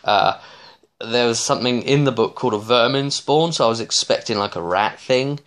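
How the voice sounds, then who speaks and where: A man in his thirties giving a casual, rambling recap as a game master, halting and often trailing off mid-thought. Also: medium pitch, fairly bright tone. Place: small domestic room indoors.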